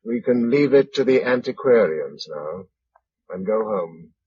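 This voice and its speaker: A man's voice: lowish voice, lively intonation, a little slow, darkish tone. An actor with an English accent playing a practical, watchful male survivor in a vintage radio drama. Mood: somber. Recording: dull, noisy old radio recording.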